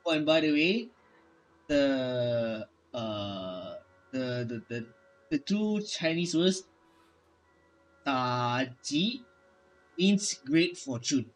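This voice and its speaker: A man reading game dialogue aloud during a playthrough, halting and stumbling over words as he goes. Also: mid-range voice, lively intonation, soft background music, rough recording. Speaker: gaming streamer.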